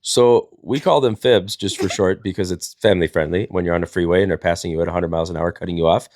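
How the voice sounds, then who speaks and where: Low-voiced guy telling rambling stories. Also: fairly even intonation, fast talker, darkish tone, no echo, clean studio sound. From Wisconsin, United States. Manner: rambling, folksy, jokey mock-innocent. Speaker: livestream host. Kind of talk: casual comedic storytelling.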